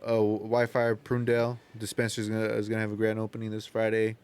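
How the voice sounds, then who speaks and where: Man, podcast host, flat intonation, clean smooth voice, slangy casual talk, repeats himself. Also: lowish voice, fast. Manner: slangy, profane, repeats phrases. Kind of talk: casual chat.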